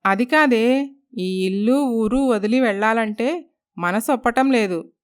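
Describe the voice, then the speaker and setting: A woman's voice: on the high side, slow, smooth. A woman voicing a worried, doubtful mother of a poor family in a moral story. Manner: anxious, doubtful. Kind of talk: dramatised moral story voice acting.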